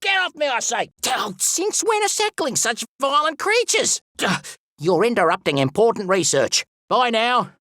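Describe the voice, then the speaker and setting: An excitable, fussy wildlife expert from a video game, with a pitch that leaps and swoops through every phrase. He is pompous and theatrical, fond of whimsical made-up words, and recorded very dry and close. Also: moderate pace, no room sound, exaggerated voice. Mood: indignant, flustered, then cheerful farewell. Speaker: voice actor. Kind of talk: animated video game character voice lines.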